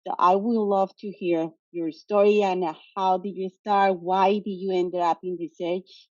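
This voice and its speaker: A woman's voice: fairly low pitch, steady tone, a little slow, warm tone, dry recording, highs cut off. A woman hosting an interview, speaking hesitantly with many fillers. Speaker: host.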